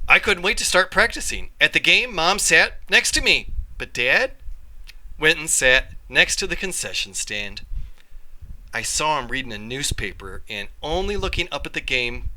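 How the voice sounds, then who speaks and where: A man reading a children's story aloud in an animated, expressive way, with dramatic pauses. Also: fairly high pitch, low-quality recording. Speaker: read-along storyteller, teacher.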